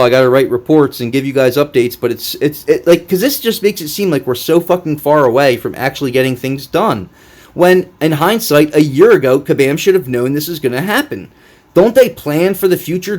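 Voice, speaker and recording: A man's voice: mid-range voice, a little mellow. A man hosting a casual gaming read-through with commentary, speaking in a blunt, exasperated way with plenty of swearing. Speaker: gaming video host.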